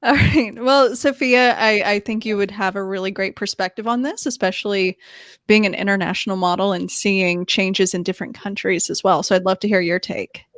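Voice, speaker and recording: A woman's voice: medium pitch, steady tone, moderate pace, fairly bright tone, no room sound, studio quality. A woman moderating a panel on a video call, friendly and light-hearted.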